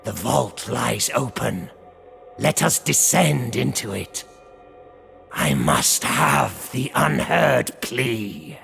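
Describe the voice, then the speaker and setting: A greedy undead hangman from a dark fantasy game. His rasping voice crackles with heavy fry, slow and fervent, with dramatic swoops in pitch. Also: big pitch swings, soft background music, heavily acted. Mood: ominous, eager. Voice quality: very gravelly, rasping creak. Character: zealous, greedy undead executioner serving a death god. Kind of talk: theatrical video game cutscene acting.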